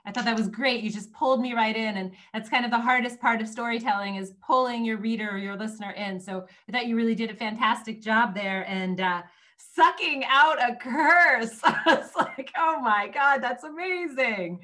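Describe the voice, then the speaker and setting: A woman with a bright, forceful voice pitched a bit high. She speaks as a judge over a video call, giving casual critique in an effusive, encouraging way. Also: moderate pace. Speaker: guest judge giving feedback.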